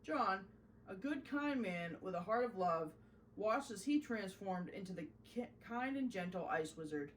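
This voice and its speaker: A woman narrating a children's storybook in an expressive, deliberate storytelling manner. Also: mid-range voice, distant mic, slight background noise, poor audio. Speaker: host reading a children's story.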